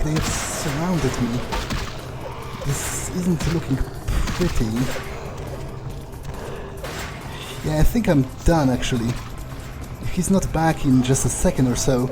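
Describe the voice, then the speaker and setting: A man role-playing a jaded, domineering, foul-mouthed male vampire in a video game, thinking aloud in a contemptuous, deliberate way. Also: a little high, close to an everyday voice. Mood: grim, resigned. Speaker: gamer role-playing in character. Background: faint game music.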